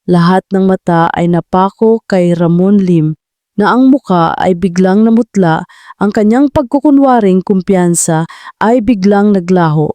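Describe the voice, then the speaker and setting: A woman's voice: mid-range voice, a little slow, mellow, no room sound. A woman storyteller reading a scripted audio drama in a dramatic narrating style.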